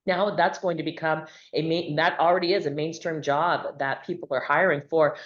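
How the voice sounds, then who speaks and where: A woman's voice: low voice, steady tone, normal speed, muffled recording. A woman moderating a webinar panel over a video call, asking questions in a loose, conversational way with fillers.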